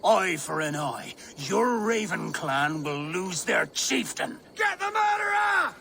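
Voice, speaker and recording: Gravelly, forceful, vengeful medieval guard leader. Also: male voice, sits high, big pitch swings, slow pace, slight background noise, heavily acted. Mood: shouting orders. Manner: stern, declamatory. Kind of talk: dramatic video game cutscene acting.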